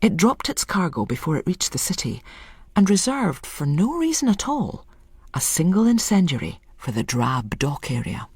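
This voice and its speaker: A woman's voice: lowish voice, sing-song, normal speed, darkish tone, husky, dry recording, clean studio sound. A woman reading a scripted literary story as a dramatic narrator.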